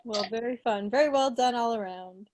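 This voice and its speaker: A woman with a high-pitched voice talks casually from her living room on a video call. She comes across as chatty and playful, like the presenter at a club meeting. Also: moderate pace, noisy background. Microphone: video call from a seat in an armchair.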